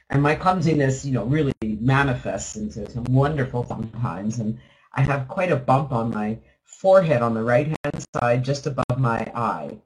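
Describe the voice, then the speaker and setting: A man's voice: mid-range voice, low-quality recording. A man hosting a live webinar in a chatty, upbeat way, rambling with restarts and with a slight lingering cough. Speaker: webinar host. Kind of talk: casual talk on a live webinar call.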